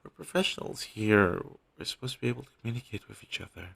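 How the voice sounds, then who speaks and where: A devoted male talent agent, played by a man in an audio roleplay, speaks in a low, gravelly voice. He is earnest and apologetic, reassuring his client through hesitant, husky lines. It is a low-quality home recording. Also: very animated, fast talker, a bit bright, full character voice. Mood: defensive, apologetic. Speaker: amateur voice actor in a scripted audio roleplay. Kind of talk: scripted audio roleplay, intimate one-sided dialogue.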